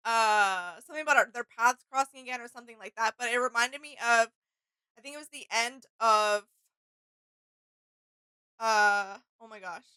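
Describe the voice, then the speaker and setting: A woman's voice: fairly high pitch, normal speed, long pauses, sharp tone, clean tone, dry recording, rough recording. A woman co-hosting a reaction video, giving casual fan commentary and often searching for her words.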